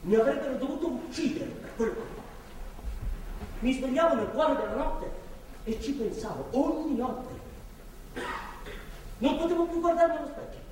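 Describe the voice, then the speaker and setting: A broken, remorseful man confessing and pleading in a stage drama. His voice is high for a man and halting, heard from a distance in a very echoey hall. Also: a little mellow. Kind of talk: live stage drama acting. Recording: distant mic, echoey theatre hall, faint noise.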